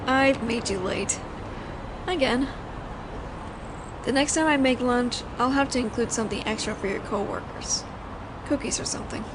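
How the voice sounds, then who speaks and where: A woman's voice: a little high, background noise, close to an everyday voice. A woman voicing a flirtatious, doting mafia boss in an intimate roleplay audio drama, teasing and considerate as she talks to her lover. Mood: gentle, apologetic. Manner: soft-spoken flirting, teasing, pauses as if hearing replies.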